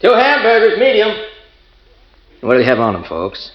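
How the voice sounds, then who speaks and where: A man playing an easygoing lunchroom counterman in a 1940s radio drama, slow and casual, on a dull old recording. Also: sits high, wildly swooping pitch.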